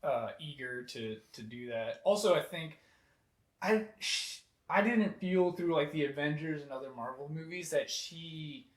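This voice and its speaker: A man's voice: a little high, a little slow. A young man talking casually on a film review panel, rambling with many fillers. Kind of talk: casual group chat. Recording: roomy echo.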